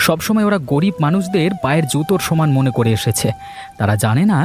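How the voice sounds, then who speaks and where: A man's voice: a little high, lively intonation, a little fast, close to an everyday voice. A man voicing a confident, scheming village friend who gives assured advice in a cartoon. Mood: righteous. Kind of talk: Bengali cartoon dialogue acting.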